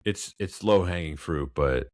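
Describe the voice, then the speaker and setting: A man's voice: deep voice, a little slow, darkish tone, dry recording, clean studio sound. A man co-hosting a film podcast, speaking in casual conversation about movies.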